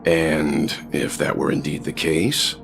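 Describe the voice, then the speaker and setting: A man's voice: a bass voice, little pitch movement, slow, vocal fry, exaggerated voice. A man playing a stern, composed and dependable protector in a video game, terse and guarded, with music behind him. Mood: firm. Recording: loud background music under the voice.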